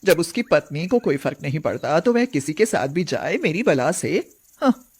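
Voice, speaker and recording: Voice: medium pitch, big pitch swings, normal speed, husky. An actor playing an older mother-in-law in a family moral story, doting with blessings and sassy in retorts. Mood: sassy, dismissive.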